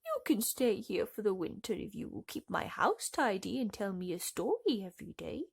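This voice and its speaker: A woman's voice: mid-range voice, very animated, moderate pace, gravelly, a little mellow, breathy edge, exaggerated voice. A woman voicing a motherly, bossy female field mouse with a fussy, insistent manner for a storybook. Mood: kindly.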